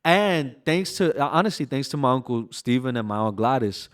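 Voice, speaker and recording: A young man from Brooklyn talks through his memories in an easygoing, reflective way. His voice sits at an ordinary middle pitch, and he keeps dropping in casual fillers as he thinks aloud. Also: moderate pace. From Brooklyn, New York. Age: 20s. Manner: relaxed, reflective storytelling with frequent "like" and "you know". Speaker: bachata artist, guest. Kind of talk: casual interview conversation.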